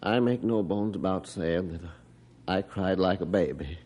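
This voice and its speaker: A man's voice: low voice, moderate pace, darkish tone. An actor in a 1950s radio drama playing a grieving middle-aged father telling how his dog died, earnest and heartfelt. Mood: somber.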